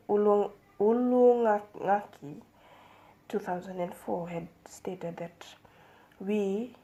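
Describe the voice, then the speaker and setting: A young woman giving a seminar presentation as a student, measured and hesitant, with fillers and restarts. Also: medium pitch, mellow, slight background noise, low-quality recording. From Fiji.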